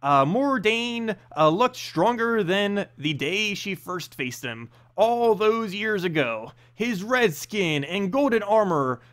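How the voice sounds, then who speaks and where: Male narrator, scripted fantasy storytelling, high-pitched voice, unhurried pace, dramatic delivery. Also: sing-song, fairly bright tone. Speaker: narrator reading game lore aloud.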